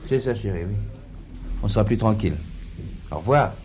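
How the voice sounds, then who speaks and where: An actor playing a preoccupied husband talking on the phone in a 1970s French drama, curt and evasive. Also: male voice, on the low side, animated, normal speed, darkish tone, soft background music, natural voice. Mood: evasive, subdued.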